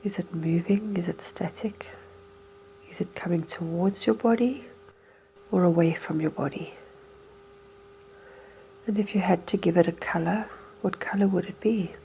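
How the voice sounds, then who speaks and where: A woman leading a slow, hypnotic guided meditation in a soothing, hushed manner with unhurried phrasing. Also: lowish voice, creaky, a little hiss or hum, poor audio.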